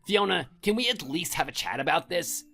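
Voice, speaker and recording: A man playing an arrogant, whiny husband in an audio drama, blustering with a husky voice. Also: fairly high pitch, animated, a little fast, sharp tone, faint music behind, heavily acted. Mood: unsettled, pleading. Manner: indignant, blustering, pleading. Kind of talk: amateur audio drama acting.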